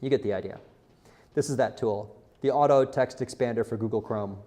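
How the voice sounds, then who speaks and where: A man's voice: medium pitch, a little fast, mellow. A man speaking as a conference presenter in an informal, candid, self-deprecating style, putting questions to his audience. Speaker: conference speaker, content marketer.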